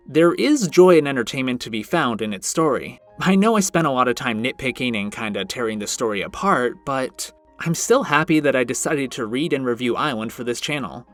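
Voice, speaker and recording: A man hosting a review channel, narrating a scripted video in a conversational way with wry asides. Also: mid-range voice. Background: background music.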